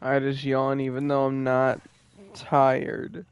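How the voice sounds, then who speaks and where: 20 yr old guy on stream, relaxed, swears a lot, kinda gravelly, dry muffled mic. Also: mid-range voice, slow, dry recording. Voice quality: profane, laid-back. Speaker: gaming streamer. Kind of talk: casual stream chat. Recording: dull, dry, lo-fi mic sound.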